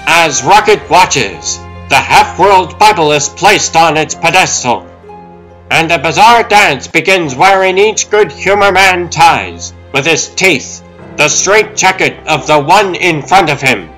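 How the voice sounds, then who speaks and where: A dramatic comic-book narrator, played by a man, with a slightly high, bright voice. The delivery is formal and scripted, over a music bed. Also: animated, slow pace, exaggerated voice, poor audio. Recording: music under the voice.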